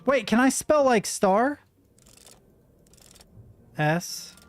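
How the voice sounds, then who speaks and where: A man's voice: very high-pitched, very animated, extremely slow, faint music behind. A young man thinking aloud as he plays a video game at home, muttering to himself. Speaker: gaming streamer. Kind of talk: let's play commentary. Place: room at home with a window and a rattling door.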